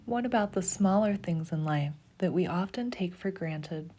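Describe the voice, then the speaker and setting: A woman leading a guided meditation in a soft, slow, soothing voice, often dropping to a breathy half-whisper. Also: lowish voice, slight background noise. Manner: slow, soothing, even pacing.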